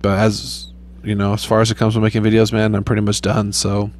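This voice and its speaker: A young man casually talking over his mobile gameplay in a rambling, laid-back way, with a flat, low-key voice and loose swearing. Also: brisk, slight background noise. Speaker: gaming YouTuber.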